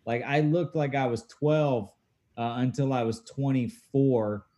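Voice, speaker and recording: A man's voice: mid-range voice, measured pace, dark tone. A man in his thirties, a guest in a casual chat, telling stories in a laid-back, self-mocking way. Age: 30s.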